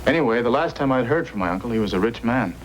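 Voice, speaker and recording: A man acting a stubborn, earnest young husband. He speaks rapidly at a middling pitch in vintage radio style, over a slightly noisy recording. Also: lively intonation, close to an everyday voice. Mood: earnest, determined. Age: young adult. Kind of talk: old-time radio acting.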